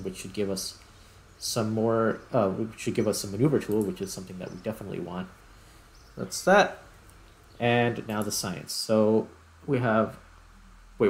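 A man giving casual gameplay commentary as host, thinking aloud in broken, halting phrases. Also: on the low side, a little hiss or hum. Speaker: gaming video host. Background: music.

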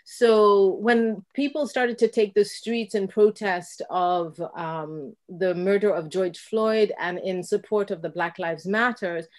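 Woman talking thoughtfully on a video call. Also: mid-range voice. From Kenya. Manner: reflective, animated storyteller who acts out quoted exchanges. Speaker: dancer and guest. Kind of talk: casual conversation on a video call. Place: home room with bookshelves. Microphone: video call, laptop mic.

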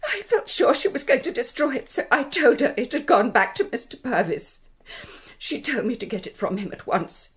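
High, fluttery, guilt-ridden spinster. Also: female voice, very animated, normal speed, breathy edge, exaggerated voice. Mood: tearful, guilty. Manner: fluttery, plaintive, confessing. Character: meek, flustered, guilt-ridden spinster companion. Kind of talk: radio drama acting.

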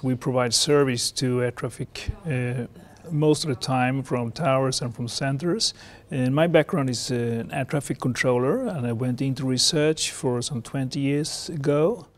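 A man in his fifties with a Swedish accent explains his research in an interview, in measured, halting non-native English. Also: mid-range voice. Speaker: air traffic researcher and former controller. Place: indoor seated interview in a lounge or office. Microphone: lapel mic.

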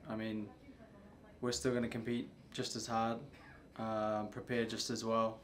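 A young man, a soccer player, answering questions at a post-match press conference in a subdued, halting way with many fillers. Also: on the low side, monotone. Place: press room before a sponsor backdrop.

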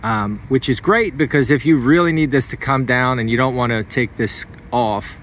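A man giving a casual, unscripted hands-on walkthrough in short, halting phrases. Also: medium pitch, a bit bright. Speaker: hobbyist demonstrating an antenna installation. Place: outdoors beside a car in a residential driveway. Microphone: handheld phone filming the car. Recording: outdoor noise behind the voice.

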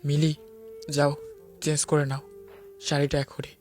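A man's voice: on the high side, completely flat delivery, unhurried, a little mellow, soft background music, natural voice. A man playing a stern but protective police officer in a melodramatic audio story. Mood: stern, commanding. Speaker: narrator and lead actor.

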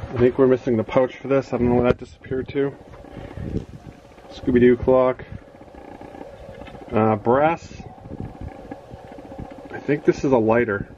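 A middle-aged man giving a casual, halting show-and-tell in a small indoor room on a handheld camera. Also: medium pitch, steady tone, warm tone, muffled recording. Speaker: reseller showing storage unit finds. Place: small cluttered home office, indoors. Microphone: handheld phone or camera. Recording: noisy handheld home recording.

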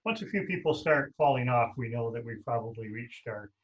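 A man's voice: mid-range voice, lively intonation, fairly quick, lo-fi sound. A middle-aged man hosting a casual video-call chat from a room at home, loose and rambling as he corrects himself mid-sentence. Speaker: host.

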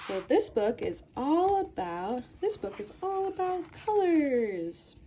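Slow, high-voiced woman reading to children. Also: big pitch swings, dark tone, a little hiss or hum. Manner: sing-song, addresses young listeners with questions and prompts. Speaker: gardener reading a picture book to children. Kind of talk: children's read-aloud.

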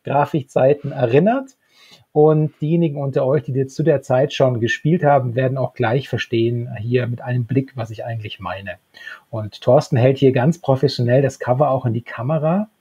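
A man in his thirties with a husky voice, talking as a podcast co-host. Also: medium pitch, normal speed, warm tone, dull audio. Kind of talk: podcast talk, partly read summary. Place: small book-lined room at home. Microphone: handheld-style recorder microphone close up, earphones.

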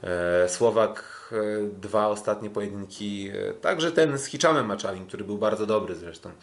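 A Polish man co-hosting a sports podcast, recapping fights casually in a hesitant way with drawn-out fillers and restarts. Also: fairly low pitch, gravelly. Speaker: podcast co-host, kickboxing commentator.

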